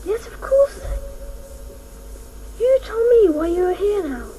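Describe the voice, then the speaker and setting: A young boy in a radio play. The voice is very high and lively, childlike and defiantly direct. Faint broadcast noise sits on the recording. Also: takes it slowly, heavily acted, rough recording. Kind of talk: radio drama acting.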